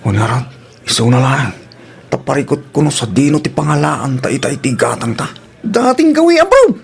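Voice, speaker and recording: A man with a Filipino accent playing a wayward, wheedling young student son with a creaky voice in an audio drama. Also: medium pitch, very animated, brisk. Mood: rowdy, scheming. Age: young adult. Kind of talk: Ilocano radio-style drama acting.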